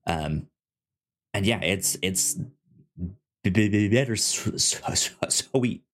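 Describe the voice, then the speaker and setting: A man co-hosting a podcast, chatting casually about an audio drama in a halting, stammering way that often repeats the starts of words. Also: lowish voice. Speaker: podcast co-host.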